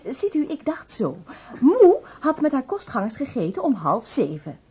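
A woman's voice: sits high, huge pitch swings, normal speed, warm tone, breathy edge, heavily acted. An actress playing an earnest, methodical lady detective in a radio drama.

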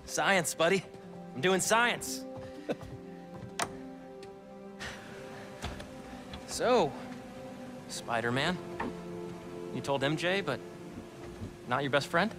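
An actor playing an excitable, earnest young man with new superpowers in a video game, talking in a casual, boyish way over music. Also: a little high, slow, long pauses. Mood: playful, probing. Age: young adult. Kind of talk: video game cutscene acting. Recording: music behind the dialogue.

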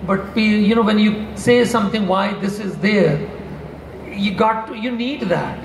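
An older man with a high, gravelly voice rambles through a casual panel answer, restarting and tossing in asides. He speaks into a handheld mic in a big convention hall, the sound bouncing off the room with faint background hum. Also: a little slow. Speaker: product formulator on a panel. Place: large convention hall stage.

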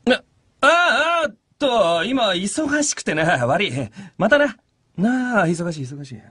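Swaggering, animated beast-man mentor. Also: high-pitched, takes it slowly, no room sound, studio quality, exaggerated voice. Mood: flustered. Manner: teasing, self-important, rough masculine speech. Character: roguish, swaggering older male beast hunter, a mentor. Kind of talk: Japanese anime radio drama acting.

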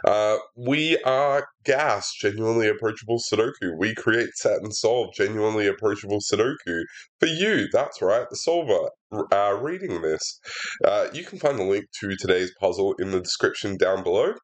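This is a young man hosting a relaxed puzzle walkthrough while his sinuses are stuffed up. His voice sits slightly low and comes through a laptop's built-in mic at his desk. He talks through every move casually, with plenty of "uh" and "um". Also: normal speed. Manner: chatty and relaxed, many fillers, explains steps aloud. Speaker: puzzle setter and walkthrough host. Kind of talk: casual sudoku solving walkthrough. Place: indoor room at a desk.

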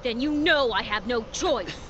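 An actress playing an imperious, vengeful empress in a video game, haughty and commanding in a theatrical way. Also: female voice, a little high, animated, a little slow, a little hiss or hum. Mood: defiant resolve. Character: imperious, vengeful empress defying her fate. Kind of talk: dramatic video game acting. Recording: muffled game audio under loud music.

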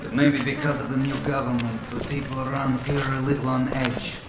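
A man's voice: mid-range voice, little pitch movement, a little fast, background noise, lightly acted. An actor playing a guarded, stern middle-aged male local contact in a video game cutscene, giving instructions. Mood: guarded, grave. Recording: echoey room, music behind.

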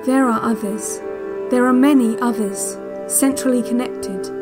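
High-voiced, hypnotic relaxation tape instructor played by a woman. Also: close to an everyday voice. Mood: hypnotic, quietly insistent. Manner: measured, deliberate, hypnotic, clinical. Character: calm, controlling female relaxation cassette instructor at an institute. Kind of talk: slow guided-meditation narration in audio drama. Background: new-age music.

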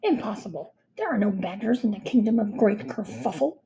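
A woman's voice: mid-range voice, expressive, brisk, vocal fry, a crowd in the background, low-quality recording. A woman voicing a pompous, muddled king in a children's storybook, emphatic, self-assured and dismissive. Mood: blustering, dismissive.

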